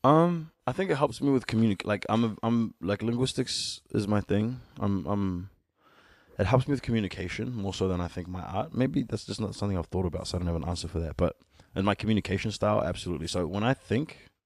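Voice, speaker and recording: Deep gravelly nz-guy in his 30s, laid back, talks easy and laughs a lot, dry close mic. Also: fast, no room sound. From born in Sudan, raised in New Zealand. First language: an Ethiopian language. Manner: relaxed, candid, laughing often. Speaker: musician guest. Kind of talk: casual podcast conversation.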